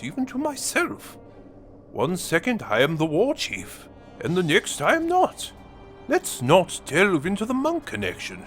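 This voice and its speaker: Male actor as villainous alien warlord, audio drama monologue, slow pace, hugely varied pitch, theatrical pauses, brooding menace. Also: a bit bright, exaggerated voice.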